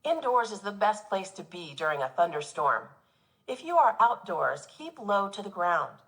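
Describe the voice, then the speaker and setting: A woman teacher reading a nonfiction children's book aloud in an expressive, instructive way for young pupils. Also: mid-range voice, moderate pace, low-quality recording.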